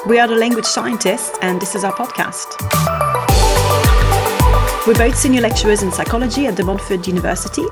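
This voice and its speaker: A middle-aged woman hosting a podcast, calmly asking her guest questions. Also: mid-range voice. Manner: measured, asks questions. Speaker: podcast host, interviewer. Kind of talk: podcast interview.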